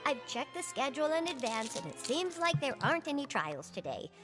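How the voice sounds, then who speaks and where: An actress playing a fussy young court secretary who talks in a fretful, exasperated way. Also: female voice, sits high, sing-song, a little fast. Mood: resigned. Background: game music.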